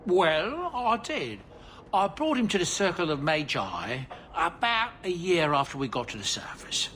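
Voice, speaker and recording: A man's voice: fairly high pitch, sing-song, measured pace, fairly bright tone, slight background noise. A man playing a cheerful older dwarf merchant and doting father in a video game, chatty and folksy. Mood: matter-of-fact.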